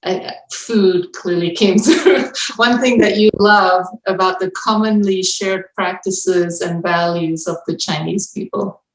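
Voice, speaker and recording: A woman's voice: lowish voice, fairly even intonation, slow pace, reverberant, rough recording. A woman hosting a panel discussion, prompting guests with short acknowledgements. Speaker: panel host. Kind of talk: moderating a livestream panel.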